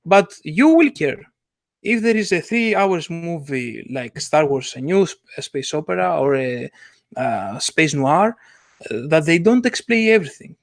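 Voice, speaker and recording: A man's voice: a little high, animated, gravelly, dry recording, studio quality. A man who speaks English as a second language, co-hosting a casual podcast and searching for his words as he goes. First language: not English. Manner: halting, searching for words in non-native English. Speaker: podcast co-host and illustrator.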